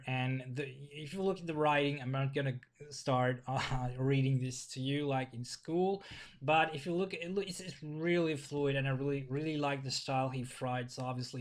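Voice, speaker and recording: A young man from Finland with a Finnish accent, speaking English as a second language in a casual vlog, halting and repeating words, recorded at his desk in a small bedroom. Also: mid-range voice. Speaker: vlogger. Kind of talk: casual video commentary.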